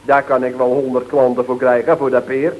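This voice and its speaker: An actor playing a cheeky, simple-minded younger underling in an old radio comedy, slangy and defensive, with a Dutch accent. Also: male voice, medium pitch, little pitch movement, a little fast, natural voice. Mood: naive explaining. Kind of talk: 1970s radio comedy acting. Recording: dull old radio recording.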